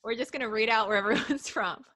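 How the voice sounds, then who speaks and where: A woman co-hosting a live podcast stream, giggly and excitable, reading out chat messages over a video call. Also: on the high side, fast. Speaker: podcast co-host.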